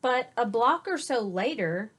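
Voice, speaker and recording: A woman teacher reading a picture book aloud to children, pausing for simple explanatory asides. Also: fairly high pitch, expressive, fairly bright tone.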